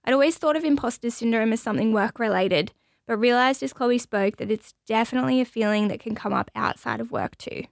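A woman's voice: a little fast, gravelly, no echo. A woman, hosting a casual podcast chat in a radio studio and laughing often. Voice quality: high-pitched. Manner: bubbly and chatty, laughs often. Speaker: podcast host.

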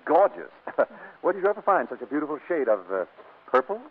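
An actor playing a wisecracking, affably sardonic young married husband in a 1940s radio comedy, wry and flustered. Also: male voice, fairly high pitch, sing-song, fast talker. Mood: dry sarcasm. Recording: scratchy, narrow-band old radio recording.

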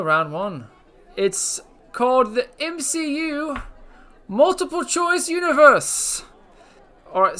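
A man voicing a rambling, laid-off cousin who now hosts a podcast game show, with a high put-on voice and slangy bluster. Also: bright, forceful tone, clean tone, exaggerated voice. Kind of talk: comedic character monologue on a podcast. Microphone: podcast mic.

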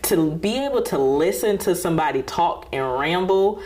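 A young woman talking as a guest on a casual podcast, lively and chatty, laughing often and hyping up her host. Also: on the low side, normal speed. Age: young adult.

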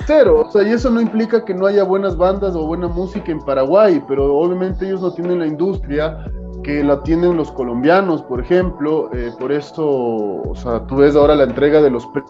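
A man from Ecuador with an Ecuadorian accent, a musician guest in a casual interview, talking in an animated, rambling way full of fillers. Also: fairly high pitch, a little fast, muffled recording, soft background music. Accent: Ecuadorian Spanish. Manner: animated, rambling, fills with "o sea".

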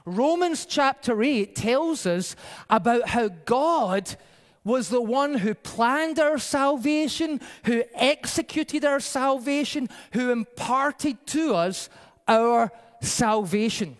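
A male pastor preaches with a high voice, telling stories in a conversational way. His pace speeds up and slows down. The sound rings with long reverb, as in a large church hall. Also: lively intonation. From Peru-born, raised in the UK by Scottish parents. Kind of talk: sermon.

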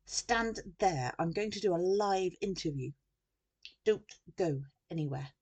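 A woman voicing a pushy, snappy female TV news reporter in a storybook, with a forceful delivery. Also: on the low side, lively intonation, a little slow, slightly rough, exaggerated voice. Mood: excited, urgent. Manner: forceful, over-bright on-air delivery.

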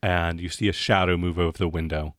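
The man at the head of a game night table, low and close on a dry mic, feeling out each room as he describes it. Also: steady tone, brisk, fairly bright tone, no room sound. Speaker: game master. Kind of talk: narrating a tabletop role-playing game.

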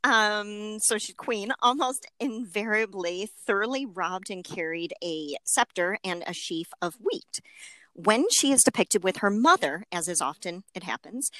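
A playful woman co-hosting a casual podcast, reading highlighted passages and whooping now and then. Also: expressive, normal speed, sharp tone, dry recording, low-quality recording. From Italian-American family. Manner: playful, whoops, reads from a book. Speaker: podcast co-host. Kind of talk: casual chat.

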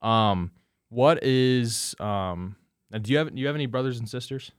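A man's voice: medium pitch, animated, normal speed, clear, resonant tone, no echo. Easygoing young male podcast host. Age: 20s. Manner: easygoing, rephrases questions as he asks them. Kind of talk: scripted intro, then casual interview.

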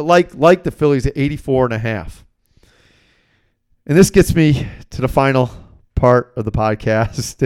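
An American man hosting a sports podcast as a coach, talking casually and bluntly in an animated storytelling way. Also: fairly high pitch. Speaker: sports betting podcast host and basketball coach.

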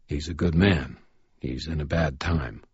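Man doing a calm kind contractor, soft and measured, very deep husky voice. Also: dry recording, lo-fi sound, exaggerated voice. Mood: gentle. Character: calm steady middle-aged male contractor, gentle and principled.

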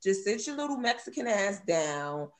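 A woman hosts a loose live panel, talking bluntly and gossiping with her co-hosts. Her voice sits at a middling pitch, and she laughs easily between her points. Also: big pitch swings, moderate pace. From Brownsville, Brooklyn. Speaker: host. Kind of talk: casual live online panel chat.

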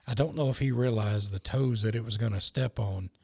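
A man's voice: on the low side, a little fast, vocal fry, a little mellow, breathy edge, no echo. A man co-hosting a church podcast, tired and wry, with dry jokes. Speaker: podcast co-host. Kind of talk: casual church podcast chat.

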